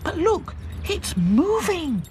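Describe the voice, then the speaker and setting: A man's voice: very high voice, huge pitch swings, slow, darkish tone, a little hiss or hum, pitched above the performer's own voice, full character voice. Princess: a man doing a gushing dainty doll. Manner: gushing, breathy sighing.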